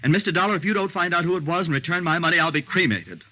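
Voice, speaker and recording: A man's voice: a little high, racing, pushed and bright, lo-fi sound. An actor playing a flustered, self-dramatizing male dress designer in a theatrical, fussy 1950s radio drama style. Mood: desperate, agitated. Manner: theatrical, fussy, gushing, stammers when agitated.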